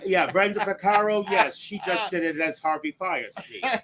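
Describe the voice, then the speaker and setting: A man hosting as a drag queen, with a deep raspy whiskey voice and a campy, sharp-tongued, self-mocking delivery. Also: moderate pace. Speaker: drag queen podcast host. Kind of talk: campy casual chat.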